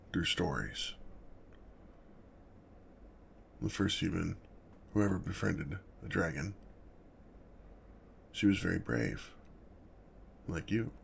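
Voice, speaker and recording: Man voicing a deep, gravelly, gentle dragon. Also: slight background noise, exaggerated voice. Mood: wistful. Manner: hesitant, self-conscious, halting, formal wording. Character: awkward, gentle, protective lonely male dragon. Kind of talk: ASMR roleplay, speaking softly to the listener.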